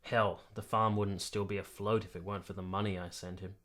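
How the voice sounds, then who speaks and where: A man's voice: sits low, little pitch movement, fairly quick, a bit bright. An actor playing a sober, disillusioned military man of the 1870s in a radio drama, reflective and formal in his wording. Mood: reflective, bitter. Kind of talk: period radio drama acting and narration.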